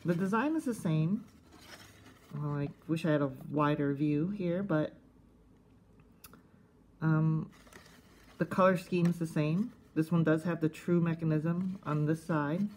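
A woman giving casual, unscripted hands-on review commentary in a halting, conversational way, thinking aloud. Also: low-pitched, a little mellow. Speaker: host of a cassette collecting video channel. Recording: faint background noise, casual tablet recording.

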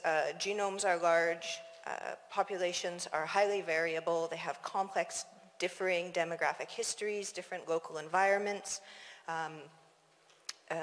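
A woman from Toronto with a Canadian accent, giving an academic conference talk in a measured, hesitant way in a large auditorium. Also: lowish voice. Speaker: scientist presenting at a conference. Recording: reverberant auditorium, long hall echo.

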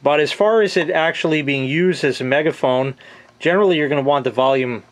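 A man's voice: fairly high pitch, fairly quick. A middle-aged American man giving a casual hands-on product review at home, plain-spoken and offhand, into a handheld camera's mic. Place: small room at home, laundry area. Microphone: handheld camera mic, close behind the camera.

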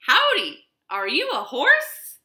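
A woman voicing a cheerful, eager cowboy man who greets everyone loudly. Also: wildly swooping pitch, takes it slowly, heavily acted. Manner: high, loud, bright greetings. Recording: roomy echo.

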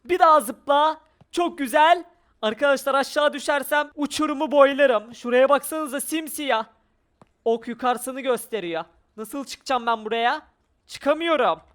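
A gamer giving excited, exclamatory gameplay commentary with role-play, narrating every action aloud in speech. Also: high-pitched, a little slow. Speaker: gaming video creator. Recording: music behind the voice. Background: background music.

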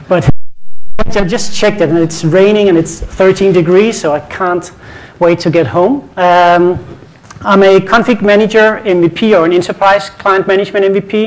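A man with a Danish accent presents a technical session from a conference stage. His voice sits fairly high for a man. He talks casually, often repeats words and slips in light jokes. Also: poor audio. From Denmark.

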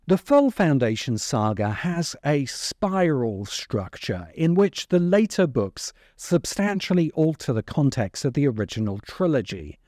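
Middle-aged male, video essay narrator, animated intonation, dry close-miked sound. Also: mid-range voice, no echo. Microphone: close microphone on a stand in front of him.

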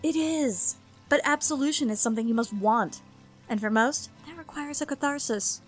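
A guarded, world-weary woman, played by an actress in an audio drama. Her voice is high, her delivery firm and measured, with light music underneath. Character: guarded, world-weary forest-dwelling woman, stern and principled.

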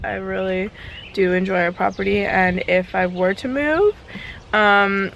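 A young woman talks in an informal, rambling vlog style. Her voice sits at a medium pitch. She is recorded outdoors at arm's length, with light background noise around her. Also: fairly bright tone. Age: 20s. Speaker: lifestyle vlogger. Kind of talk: casual vlog chat. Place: mostly outdoors in a leafy backyard, briefly a home kitchen. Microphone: camera at arm's length.

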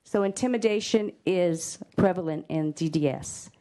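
Mellow-voiced mother pleading her case. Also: on the low side, normal speed. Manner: heartfelt, stumbling restarts, pointed. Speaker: parent giving public comment. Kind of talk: impassioned public comment. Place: state hearing room.

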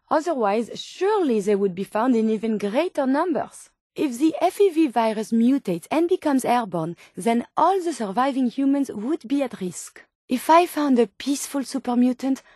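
A woman's voice: on the high side, darkish tone, dry recording, studio quality. An actress voicing a polite, curious and tender female robot scientist in a video game, with a French accent and precise, formal phrasing. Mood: curious, prim. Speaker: video game companion character. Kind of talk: video game companion dialogue.

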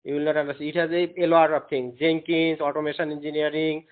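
A man's voice: fairly high pitch, flat delivery, fast. A man whose first language is Bengali, lecturing a class in an earnest, encouraging way and often repeating himself. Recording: echoey room, tinny phone-like sound.

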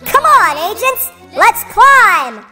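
An actor voicing a playful, energetic young adventurer in a children's cartoon, with music behind. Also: very high-pitched, takes it slowly, full character voice. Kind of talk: animated children's cartoon acting.

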